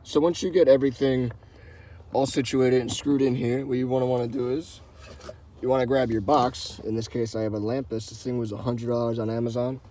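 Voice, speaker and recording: A man's voice: mid-range voice, steady tone, brisk, mellow. Casual man giving a tutorial, noisy background. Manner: informal, repetitive instructional phrasing. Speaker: host of a how-to car channel. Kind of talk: casual hands-on tutorial talk.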